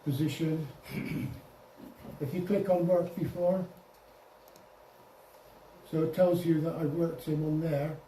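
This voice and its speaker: A man giving an informal software demonstration to a group, explaining step by step with some hesitation and self-correction. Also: a little high, fairly even intonation, slow pace, pauses often. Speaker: presenter at an amateur radio club. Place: indoor club meeting room. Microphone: distant room microphone. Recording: echoey room, distant mic, faint noise.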